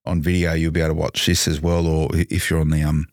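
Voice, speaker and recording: An Australian man with a very low voice chats casually on a podcast. He rambles, teases and jokes, circling back with fillers. The recording is dry and close. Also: level tone, fast talker, darkish tone, no room sound. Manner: jokey, rambling, teasing. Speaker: podcast co-host. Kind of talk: casual banter.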